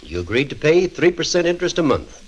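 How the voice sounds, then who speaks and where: An actor playing a businesslike, regretful sheriff in a 1940s radio drama, matter-of-fact in manner. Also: male voice, a little high, sing-song, fast talker, slightly rough. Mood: reluctant, official. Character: reluctant, businesslike sheriff delivering bad news.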